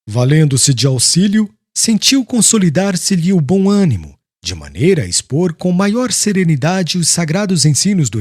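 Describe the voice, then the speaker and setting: A man's voice: on the high side, a little mellow, husky, no room sound, studio quality. A man narrating an audiobook in a solemn, formal and dramatic literary style. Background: music.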